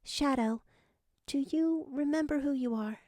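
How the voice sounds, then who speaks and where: Gentle female dream spirit for a fantasy story, caring, high and mellow acted by a woman. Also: a little slow, no echo. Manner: soft, tender.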